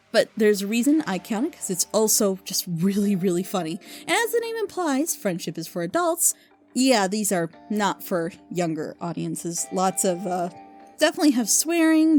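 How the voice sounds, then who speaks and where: A woman's voice: a little high, a little mellow. A woman giving casual, unscripted recommendations in an enthusiastic, rambling way, as a fan reviewer. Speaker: reviewer recommending audio dramas.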